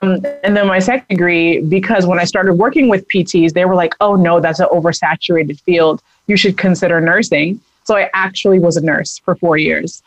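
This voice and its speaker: A woman singer in her thirties, from the American East Coast, chatting brightly and laughing as an interview guest. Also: on the low side, fairly even intonation, fairly quick, few pauses, no room sound, faint music behind, poor audio. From United States East Coast. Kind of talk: casual interview talk.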